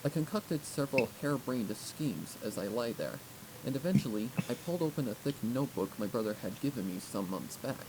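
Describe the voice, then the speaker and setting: A man's voice: mid-range voice, animated, a little fast, a crowd in the background. A man reading first-person fiction aloud as an audiobook narrator. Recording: clearly noisy recording.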